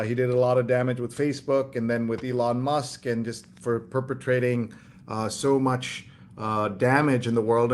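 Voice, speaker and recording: Man, mid-pitched, show host, casual live commentary, reads headlines aloud, halting conversational delivery. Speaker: host of a newspaper read-along show.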